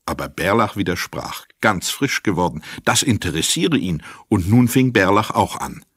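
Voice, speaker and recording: A male narrator with a deep voice reads scripted literary prose for a radio crime drama. The sound is dry, with no room around it. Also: very animated, normal speed, breathy edge, no room sound. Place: dry studio.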